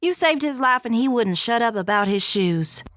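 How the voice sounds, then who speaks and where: A woman's voice: fairly high pitch, lively intonation, normal speed, a bit bright, dry recording. A woman playing a blunt, protective spacefaring friend in an audio drama, frank and casually teasing. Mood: blunt, concerned.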